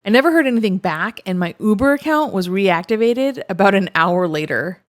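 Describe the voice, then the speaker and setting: A woman's voice: medium pitch, lively intonation, fairly quick, no echo, clean studio sound. A woman narrating first-person stories in a wry, matter-of-fact way. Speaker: narrator reading online stories.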